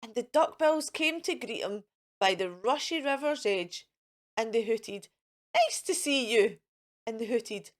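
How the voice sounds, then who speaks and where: A woman reads a picture book for young children in a high voice that moves up and down freely. She takes her time and sounds theatrical and sing-song, like a storytime host talking straight to her little listeners. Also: animated, measured pace.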